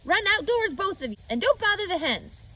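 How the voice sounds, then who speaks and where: A woman voicing a strict, busy farm wife who scolds loudly. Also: very high voice, sing-song, a little fast, voice pushed up, full character voice. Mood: scolding.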